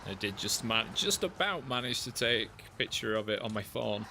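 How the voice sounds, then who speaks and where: Chatty gravel-voiced man streaming a game. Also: on the low side, moderate pace, slight background noise. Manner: relaxed, chatty, answers chat between driving comments. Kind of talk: casual live-stream chat while playing.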